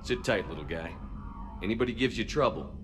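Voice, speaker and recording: A man's voice: fairly low pitch, expressive, a little fast, close to an everyday voice. A man playing a battle-hardened, determined space commander in a video game, with dry, wry humour. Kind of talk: cinematic video game acting. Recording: music behind the voice.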